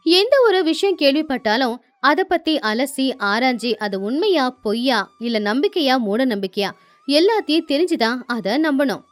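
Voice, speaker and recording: A woman's voice: high voice, very animated, normal speed. A woman narrating a scripted moral tale as a storyteller.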